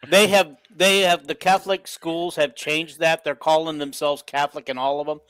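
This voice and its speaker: Co-host, American man. Slightly high voice, casual sports chat, dry recording. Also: steady tone, moderate pace, fairly bright tone, smooth, no echo. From Iowa, United States.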